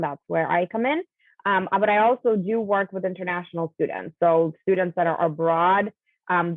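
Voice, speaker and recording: A woman whose first language is Russian, speaking as a guest in an interview, measured and full of fillers and restarts. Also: fairly low pitch, no room sound. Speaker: guest, college admissions coach. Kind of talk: conversational interview. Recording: tinny phone-like line, dry.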